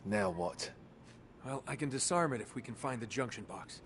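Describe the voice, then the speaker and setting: Man voicing a wry game adventurer, dry joking banter, mid-range pitch, faint background noise. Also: lively intonation, normal speed, a bit bright, close to an everyday voice. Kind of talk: game dialogue.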